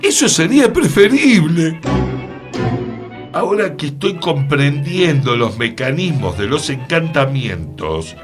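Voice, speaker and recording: An actor playing an earnest, formal radio co-host bewildered by magic in a scripted radio play. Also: male voice, on the high side. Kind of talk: scripted radio theatre dialogue.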